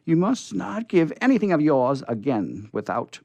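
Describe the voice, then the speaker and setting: A man's voice: fairly high pitch, sing-song, moderate pace, creaky, dark tone, no room sound, muffled recording, pitched above the performer's own voice, full character voice. Man reading as an emphatic storybook farm woman. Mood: scolding. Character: farm woman, stern but easily amazed, in old storybook.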